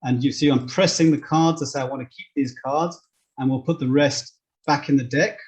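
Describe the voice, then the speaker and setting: A man's voice: medium pitch, steady tone, moderate pace, darkish tone. A man giving an informal, step-by-step screen-share demonstration as a presenter, explaining with stressed key words. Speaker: presenter demonstrating online therapy card software. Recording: dull, lo-fi microphone sound.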